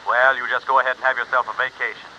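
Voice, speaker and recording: A dull, noisy old radio recording features a businesslike insurance executive. He is wary and tight-fisted, talking fast in classic radio drama style. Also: mid-range voice, flat delivery, bright, forceful tone. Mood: wary, bargaining. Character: brisk, tight-fisted male insurance company man. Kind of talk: 1950s radio drama acting.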